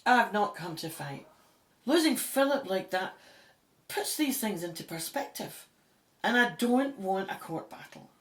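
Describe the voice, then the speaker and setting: A woman's voice: mid-range voice, animated, unhurried, a bit bright. Determined female Egyptologist, diplomatic, mid-range voice, audio drama. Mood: conciliatory.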